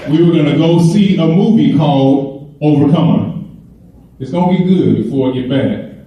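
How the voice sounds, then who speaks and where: A man's voice: fairly high pitch, normal speed, highs cut off. A middle-aged man from Chicago giving a keynote speech and telling funny stories in a casual way. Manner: storytelling, humorous, conversational. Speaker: keynote speaker. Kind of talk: motivational speech. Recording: echoey hall, mic far off, faint hiss.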